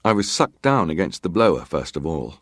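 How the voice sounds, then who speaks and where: A low, deep male voice in a dry, close recording. a stoic ship's officer testifying in a dramatized hearing. He speaks formally and plainly, in clipped, factual phrases. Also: big pitch swings, brisk, dry recording. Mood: grave recounting. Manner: clipped, matter-of-fact, formal. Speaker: actor reading testimony.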